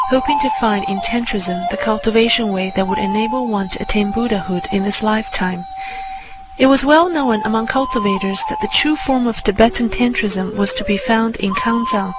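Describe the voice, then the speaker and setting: Higher-pitched woman narrating over clear music. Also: poor audio. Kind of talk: scripted first-person spiritual story narration. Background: music under the voice.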